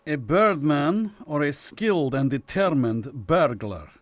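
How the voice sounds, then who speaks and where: An actor playing a stern, suspicious Soviet consular official, formal and sceptical, in a radio suspense drama. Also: male voice, fairly high pitch, lively intonation, slow pace, dry recording.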